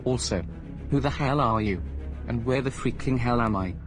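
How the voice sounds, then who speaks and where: A man's voice: medium pitch, normal speed, close to an everyday voice. A man voicing an arrogant, foul-mouthed evil villain who taunts and curses, in an amateur voice drama, with music behind. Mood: rude. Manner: rude, cursing, taunting.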